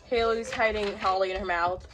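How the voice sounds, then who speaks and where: A woman's voice: medium pitch, fairly even intonation, moderate pace, a bit bright, a little hiss or hum. A woman narrating a children's story she wrote herself, reading it aloud.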